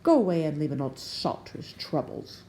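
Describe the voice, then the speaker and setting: A woman puts on a grumpy old sea captain frog from a storybook, loud and blustery, with gruff sailor talk. His voice is mellow and soft at the edges and rides up and down a great deal. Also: low-pitched, sing-song, moderate pace, a little hiss or hum. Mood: grumbling.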